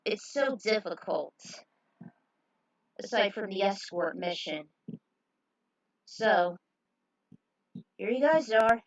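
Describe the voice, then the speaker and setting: A woman's voice: medium pitch, expressive, takes it slowly, long pauses, no room sound, lo-fi sound. A woman narrating a fan story and commenting over game scenes in a dramatic storytelling style.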